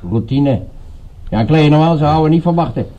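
A man's voice: a little high, brisk, mellow, highs cut off, slight background noise, lightly acted. An actor playing a curt, pudgy male henchman in a Dutch radio drama, giving blunt, clipped commands. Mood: curt, impatient.

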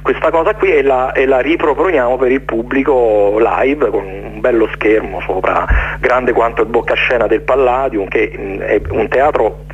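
A man, speaking casually as an interview guest in a halting, rambling way with repeated words. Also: mid-range voice. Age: late 40s. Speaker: interview guest, jazz orchestra conductor. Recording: dull, noisy radio recording, hum.

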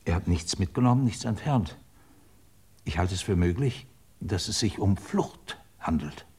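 Radio drama role: businesslike senior official in his seventies, rough lowish voice, factual telephone-call delivery. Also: animated, a little slow, mellow, full character voice. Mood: urgent, businesslike. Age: 70s. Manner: formal, clipped, factual.

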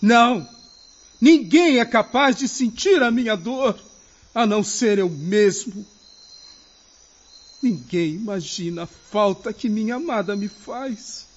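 A muffled radio-drama recording of a young widower sunk in suicidal grief, played by a man. His voice is very high and husky, dragging slowly through each anguished phrase. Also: full character voice. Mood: grieving, despairing. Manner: slow, anguished delivery. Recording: muffled, lo-fi radio play audio.

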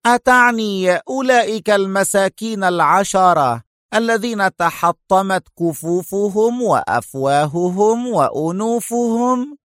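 Man acting out a sarcastic police inspector, pitched high. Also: measured pace, no room sound, studio quality. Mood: mocking. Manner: mocking, sharp, incredulous. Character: sarcastic, hot-tempered male police inspector interrogating suspects. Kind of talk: dramatized audiobook character voice.

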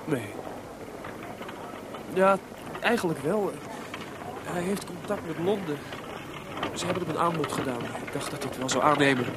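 A man's voice: a little high, moderate pace, long pauses, background noise, natural voice. A man playing Mozart as an anxious, lovesick composer who hesitates and stammers, in a Dutch radio play. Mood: troubled. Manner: hesitant, stammering when unsettled.

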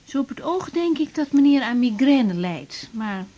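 An actress playing a young woman doctor, earnest and unsure, often speaking softly in a radio drama. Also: high voice, big pitch swings, moderate pace. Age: young adult.

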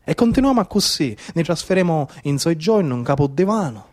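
A man's voice: a little high, sing-song, a little fast, darkish tone. A man in his thirties with a Sicilian accent, performing a colloquial, emphatic theatrical monologue in dialect. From Sicily, Italy. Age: 30s. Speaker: stage storyteller and actor.

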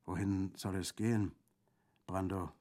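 A man's voice: lowish voice, fairly even intonation, moderate pace, darkish tone, close to an everyday voice. Man playing a faint, weary wounded lieutenant. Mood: faint, sad. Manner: faint, sombre, breathy delivery. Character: wounded young lieutenant, weary, shaken gentleman. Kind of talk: audiobook character acting.

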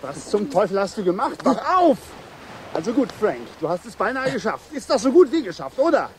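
A man's voice: high-pitched, sing-song, a little fast, background noise. An actor playing an urgent man in a radio drama, agitated, insistent and commanding. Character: urgent man firmly steadying a shaken youth.

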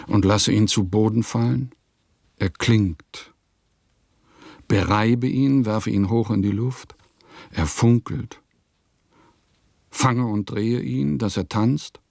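An eccentric male composer reads his diary aloud in a radio play. His voice sits fairly low and he takes his time, setting down each terse phrase very slowly and deliberately. The recording is dry, with hardly any room on it. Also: gravelly, darkish tone, no echo, heavily acted. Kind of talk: literary radio play narration.

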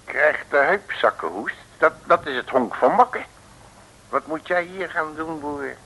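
Cheeky, bright-voiced folksy detective. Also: male voice, fairly high pitch, lively intonation, unhurried, full character voice, poor audio. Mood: cocky, curious. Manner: folksy slang, jovial bluster. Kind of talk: 1950s Dutch comic radio serial acting.

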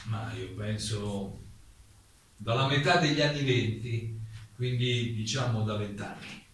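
A man playing a careful, courteous playwright giving testimony, speaking deliberately and politely in a theatrical radio drama. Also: lowish voice, a bit bright. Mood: hesitant. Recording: echoey room, distant mic, faint noise.

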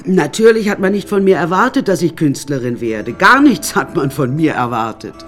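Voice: mid-range voice, very animated, normal speed, soft background music, natural voice. An actor playing an imperious, eccentric older woman painter in a radio drama, haughty and theatrical, addressing the listeners directly. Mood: haughty, complaining.

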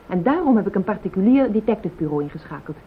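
An actress playing an anxious, fiercely loyal wife, formal and insistent, in a radio play. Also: female voice, very animated, racing, lightly acted. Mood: indignant, insistent. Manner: formal, insistent, easily indignant. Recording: tinny old radio recording, hiss, rumble.

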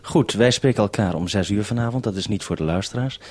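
A man with a fairly low, husky voice hosts a live radio interview. He feels his way into probing questions, pausing and rephrasing as he goes.

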